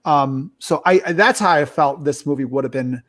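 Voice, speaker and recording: A man with a slightly high voice hosts a podcast. His delivery is that of a casual chat about movies. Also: moderate pace, a bit bright. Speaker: podcast host. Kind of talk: casual film review discussion.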